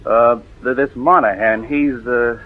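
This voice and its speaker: An actor playing a nervous, evasive nephew with a guilty secret, stammering in a 1940s radio drama. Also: male voice, mid-range voice, fairly even intonation, close to an everyday voice. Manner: stammering, halting. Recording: muffled vintage radio recording.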